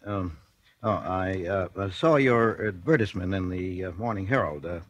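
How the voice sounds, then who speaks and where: A man's voice: low-pitched, moderate pace, dry recording. An actor playing a meek, nervous man, hesitant and easily flustered, in a 1940s radio drama. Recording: 1940s radio broadcast with music.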